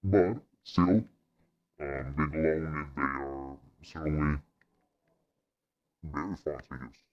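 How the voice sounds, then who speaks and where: A man using a voice changer's monster setting, chatting casually and haltingly while he plays a game. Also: fairly even intonation. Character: deep monster voice made by voice changer. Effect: pitch-lowering voice changer, monster setting. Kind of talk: casual gaming review chat. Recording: dull, muffled processed audio.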